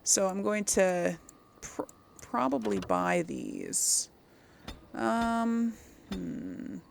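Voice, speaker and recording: Female gaming host, mid-pitched with noticeable fry, chatting and thinking aloud over casual play, some background noise. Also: very slow. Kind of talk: casual game playthrough commentary.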